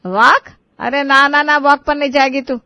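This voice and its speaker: A woman's voice: high-pitched, steady tone, normal speed, bright, forceful tone, no room sound, pitched above the performer's own voice. A woman voicing a nagging, bossy old mother-in-law in an Indian family story, scolding and emphatic. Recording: dull lo-fi audio.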